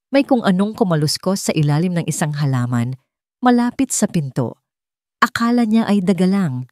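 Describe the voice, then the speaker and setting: Woman, Filipino accent, scripted dramatic narration, lower pitch, dry close sound. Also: darkish tone, no echo. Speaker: audio story narrator.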